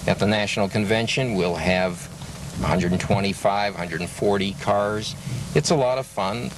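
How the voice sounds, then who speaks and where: Low-voiced man, slightly distant mic. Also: normal speed. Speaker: car club interviewee. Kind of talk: unscripted interview talk. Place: outdoors at a car meet. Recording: noisy outdoor pickup, slightly distant.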